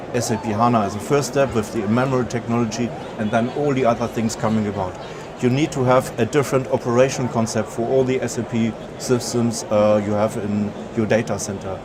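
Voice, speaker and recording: A man from Germany with a German accent, giving a conference presentation, pausing often with filler sounds and restarting sentences. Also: mid-range voice, fairly even intonation, background noise. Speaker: conference speaker.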